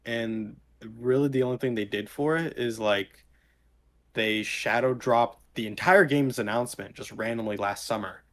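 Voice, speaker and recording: A man's voice: medium pitch, normal speed, a bit bright. A man chatting casually as a podcast co-host, talking in a rambling, enthusiastic and loosely worded way. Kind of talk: casual chat.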